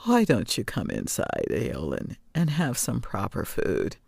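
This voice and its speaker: A woman voicing a kindly, amused old woman of the woods in a storybook, speaking in a measured, wry, teasing way. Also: rumbling low, huge pitch swings, gravelly, darkish tone, husky, no echo, clean studio sound, voice pushed down, exaggerated voice. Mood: welcoming. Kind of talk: storybook character reading.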